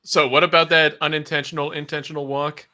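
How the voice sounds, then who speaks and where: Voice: medium pitch, flat delivery, a little fast, pushed and bright. A co-commentator trading casual, colloquial and teasing banter during a live sports broadcast. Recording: noisy mic with clicks.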